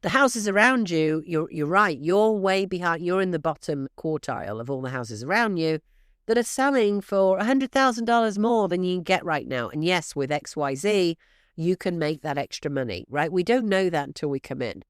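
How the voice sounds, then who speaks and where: A middle-aged woman host coaches her listeners in a brisk, emphatic way, calling them "guys". Her voice is smooth and clear underneath, though stuffy and snuffly from allergies. The recording is close and dry, with no room sound. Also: on the low side, expressive, no echo. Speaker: real estate podcast host. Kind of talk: direct-to-listener podcast advice.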